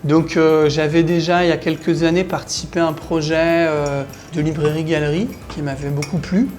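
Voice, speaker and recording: A man's voice: on the high side, flat delivery, a little fast, darkish tone. A man from the Paris region giving a casual interview, hesitant and searching for his words. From Paris region, France. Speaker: interviewee, café-gallery owner.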